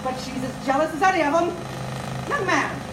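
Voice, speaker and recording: A woman's voice: high-pitched, normal speed, close to an everyday voice. A woman playing a bossy, suspicious, snobbish spinster sister in a live 1930s-style radio drama, scolding and giving imperious orders. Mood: haughty, scolding. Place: live on stage in a large theater. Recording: distant, echoey theater stage, noisy.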